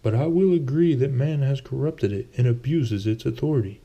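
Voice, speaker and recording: A man acts out a well-off older gentleman from a fantasy novel. His voice is lifted well above the narration, and he speaks earnestly and piously, as if trying to persuade. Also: mid-range voice, moderate pace, pitched above the performer's own voice, natural voice.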